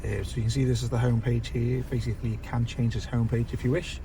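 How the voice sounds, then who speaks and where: A man with a slightly croaky, worn voice talks through a car stereo system, relaxed and full of "uh"s and "basically". He sits inside a car and films on a phone, with clear noise from the vehicle all around him. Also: medium pitch, fast talker. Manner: relaxed, filler-heavy explaining. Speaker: car audio installer. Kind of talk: casual product walkthrough.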